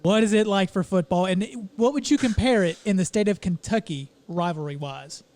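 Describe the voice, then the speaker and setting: A man co-hosting a podcast, putting a measured question to the guests. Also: high voice, a little mellow. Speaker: podcast co-host. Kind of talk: casual podcast chat.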